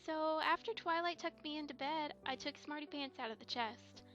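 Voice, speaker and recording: A woman's voice: normal speed, fairly bright tone, soft background music. A woman voicing a shy, earnest young filly in an audio drama, childlike and a little hesitant. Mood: sheepish, earnest. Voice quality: childlike, high. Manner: hesitant, earnest, stammering over confessions. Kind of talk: fan audio drama acting. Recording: slightly dull, lo-fi microphone.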